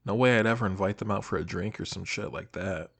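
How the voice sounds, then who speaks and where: A man acting as a shy, nostalgic old high school best friend, talking casually and hesitantly to the listener in an intimate audio roleplay. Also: fast, gravelly, husky, exaggerated voice. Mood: wistful, hesitant. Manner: hesitant, casual, soft-hearted, trailing off.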